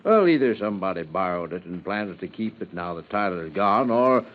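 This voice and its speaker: An actor playing a folksy, plain-spoken small-town amateur sheriff in a 1950s radio drama, rambling in an unhurried way. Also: male voice, deep voice, animated. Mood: folksy, puzzled. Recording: muffled 1950s radio recording.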